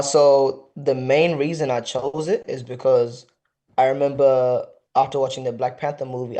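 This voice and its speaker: This is a young man from South Sudan, a fighter appearing as a podcast guest. His voice is warm and mellow at a middling pitch. He speaks in casual slang and keeps checking in with "you know". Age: young adult. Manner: laid-back slang, frequent "you know". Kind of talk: casual interview.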